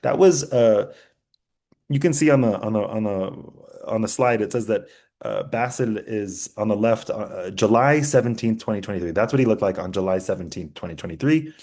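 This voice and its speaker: A young man teaching a class, talking earnestly with many fillers, restarts and pauses. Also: on the low side, dark tone. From United States. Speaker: journalism instructor. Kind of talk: class lecture with slides.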